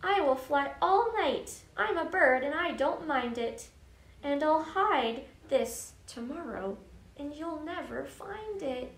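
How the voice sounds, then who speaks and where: Woman voicing a sneering, boastful storybook eagle, high-pitched. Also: lively intonation, unhurried, creaky, bright, forceful tone, far from the mic, exaggerated voice. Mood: boastful, defiant. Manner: sneering, taunting. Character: mighty, mean, boastful male storybook eagle. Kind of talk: children's storybook read-aloud. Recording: echoey room with faint noise.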